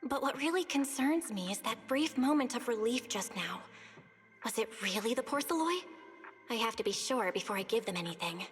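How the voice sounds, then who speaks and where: Cautious young woman voiced by a woman, high, measured, reverb, faint music. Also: expressive, natural voice. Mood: thoughtful. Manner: measured and thoughtful. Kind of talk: video game voice acting.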